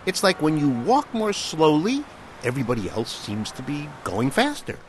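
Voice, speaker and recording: A man's voice: fairly high pitch, big pitch swings, moderate pace, a little hiss or hum. An American man working as a radio science correspondent, telling a story conversationally and dramatically, repeating and stretching key words.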